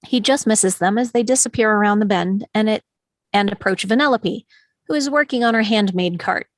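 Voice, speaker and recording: A woman's voice: mid-range voice, moderate pace, no echo. A woman reading screenplay stage directions as scripted narration.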